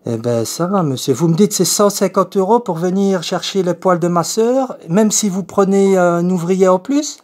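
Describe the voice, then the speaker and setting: A man's voice: high voice, lively intonation, moderate pace, hardly any pauses, darkish tone, pitched above the performer's own voice. A man voicing a confused, polite eighty-year-old woman with a Belgian French accent, hesitant and repetitive, as in a prank phone call. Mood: insistent, chatty.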